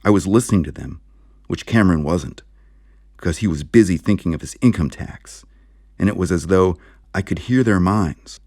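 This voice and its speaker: A man's voice: sits low, moderate pace, a little mellow, no room sound, clean studio sound. A man reading a story aloud as a dramatic, scripted audiobook narration. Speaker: audiobook reader.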